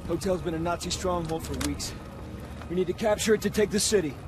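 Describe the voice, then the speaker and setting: An actor playing a commanding American World War Two army lieutenant, giving terse, authoritative orders in a shooter game. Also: male voice, high-pitched, fast, background noise, lightly acted. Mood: commanding, urgent. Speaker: squad leader. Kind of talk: military shooter game acting.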